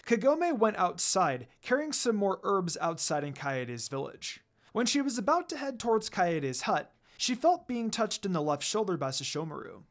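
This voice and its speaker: A man narrating a fan fiction story in an expressive reading style. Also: high voice.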